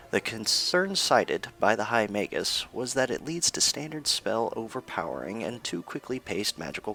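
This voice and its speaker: A man's voice: on the low side, gravelly, pushed and bright, full character voice. A man playing an earnest news anchor in a fantasy radio news parody, with a polished, presentational delivery.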